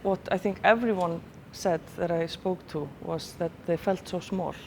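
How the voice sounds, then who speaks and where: A woman's voice: on the low side, fairly even intonation, normal speed, slight background noise. A woman speaking in a documentary interview, musing in a conversational way with many small fillers.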